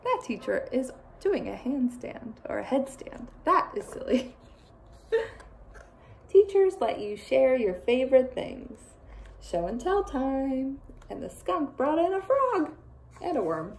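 Young woman, children's read-aloud, teacher, slow pace, soft-edged mellow tone, expressive, playful. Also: high voice, vocal fry, a little hiss or hum. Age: young adult.

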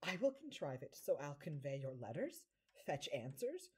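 A woman playing an impish devil posing as a fawning, scheming manservant in an audio drama, with flattering, theatrical delivery in the manner of early stage comedy. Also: deep voice, normal speed, slightly rough, exaggerated voice. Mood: sly, coaxing. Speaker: amateur actor.

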